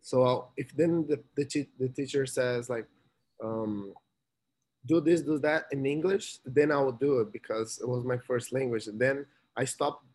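A young man from Brazil with a Brazilian Portuguese accent, speaking halting English as an interview guest. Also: medium pitch, darkish tone. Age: young adult. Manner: halting, searching for words. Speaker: interviewee, college swimmer. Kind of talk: casual interview talk.

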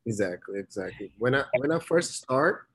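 A young man from Brazil with a Brazilian Portuguese accent, speaking halting English as an interview guest. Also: medium pitch, lively intonation, fairly bright tone, husky. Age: young adult. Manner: halting, searching for words. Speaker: interviewee, college swimmer. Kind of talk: casual interview talk.

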